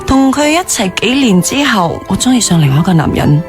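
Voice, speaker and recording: A woman's voice: mid-range voice, big pitch swings, brisk, natural voice. An actress playing a candid, self-possessed, wistful former girlfriend in radio drama dialogue. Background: music bed.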